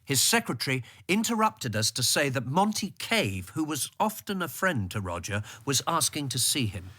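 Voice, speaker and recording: An actor playing a reflective civil servant, narrating a radio drama in the first person, measured and articulate. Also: male voice, medium pitch, big pitch swings, bright, forceful tone. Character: reflective male civil servant telling his story.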